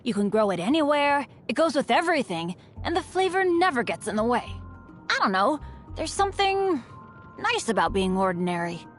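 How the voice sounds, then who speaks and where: A woman's voice: a little high, very animated, moderate pace. A woman voicing an upbeat, unlucky teenage boy adventurer in a video game, earnest and boyish. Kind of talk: video game character voice lines. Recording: studio voice over background music.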